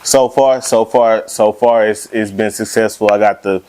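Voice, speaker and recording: Easygoing low-voiced male host. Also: fairly even intonation, normal speed, darkish tone, a little hiss or hum, low-quality recording. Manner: easygoing, stammering restarts, "you know what I'm saying". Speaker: podcast host. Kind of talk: casual interview talk.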